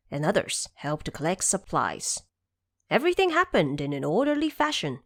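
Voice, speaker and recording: A woman narrating a scripted story expressively, as the narrator of an audio drama. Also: medium pitch.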